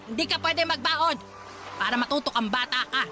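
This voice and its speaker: A woman's voice: high-pitched, a little fast, over music, close to an everyday voice. An actress in a radio drama playing a cruel, pious, stingy old grandmother who scolds and nags. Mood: angry, nagging. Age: older. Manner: scolding, sanctimonious, nagging. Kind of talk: Tagalog radio drama acting.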